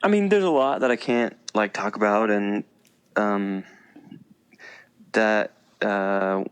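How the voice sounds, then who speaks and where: A man with a fairly low voice talks as a guest on a podcast. He tells stories in a loose, informal style and tends to play himself down. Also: slow pace, smooth. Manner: self-deprecating, chuckling, informal. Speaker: podcast guest, military veteran and teacher. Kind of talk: casual chat, telling personal stories.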